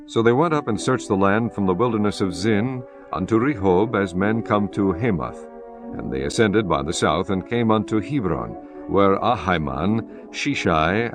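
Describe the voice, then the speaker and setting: A man narrating scripture from a script in a solemn, measured manner. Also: low voice.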